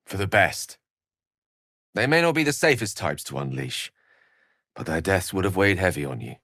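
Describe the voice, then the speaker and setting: A man's voice: on the low side, a bit bright, no room sound, studio quality, exaggerated voice. A man voicing a courteous, self-assured wizard companion in a video game, articulate and wryly theatrical. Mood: earnest. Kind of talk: video game character voice lines.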